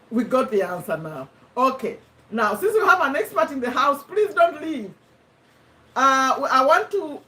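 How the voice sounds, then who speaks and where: A woman with a Ugandan accent hosting a casual live stream, chatting to viewers in an animated way and repeating herself for emphasis. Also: high-pitched. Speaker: host of a live personal development discussion. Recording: voice somewhat far from the mic.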